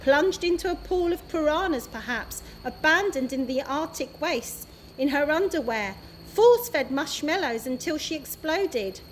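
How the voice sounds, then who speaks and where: A woman, an early years practitioner, reading a children's chapter book aloud in a steady, expressive way. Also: high voice, measured pace, slight background noise, rough recording.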